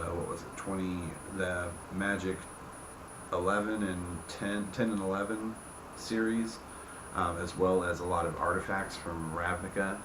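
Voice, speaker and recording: A man giving an informal explanation as host, often checking in with the listener with small tag questions. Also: deep voice. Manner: checks in often with "okay", "right", "you see what I'm saying". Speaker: host, game creator explaining rules. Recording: roomy echo, clear background noise.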